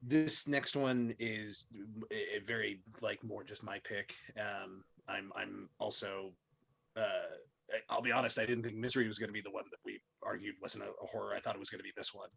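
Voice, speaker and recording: A man's voice: fairly low pitch, steady tone, moderate pace, gravelly, a bit bright, husky. A man discussing films casually as a podcast panelist, phrasing things hesitantly, piece by piece.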